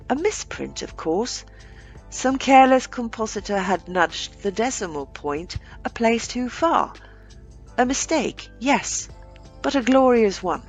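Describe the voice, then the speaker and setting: A woman narrating a scripted short story aloud, with deliberate phrasing and dramatic pauses. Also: on the low side.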